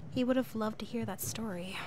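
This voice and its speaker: A woman's voice: fairly high pitch, lightly acted. A woman playing an anxious, grumbling young woman in an amateur radio play, on a noisy recording. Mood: sad, wistful.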